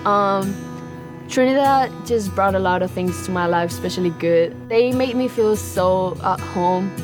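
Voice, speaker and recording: A young woman from Spain with a Spanish accent, a college basketball player speaking earnestly in an interview. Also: medium pitch. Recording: music bed under the voice.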